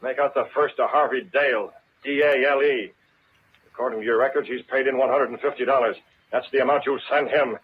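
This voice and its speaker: An actor playing a commanding, coolly taunting masked vigilante in a 1940s radio drama, giving clipped threats. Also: male voice, medium pitch, steady tone, fairly quick, phone-quality sound, close to an everyday voice. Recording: scratchy, thin old-time radio broadcast.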